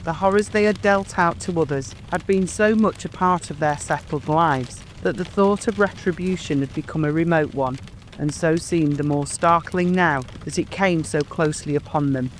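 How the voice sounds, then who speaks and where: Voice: medium pitch, moderate pace. An audiobook narrator reads scripted story prose. The voice carries the narration between lines of dialogue, with a faint noise underneath it.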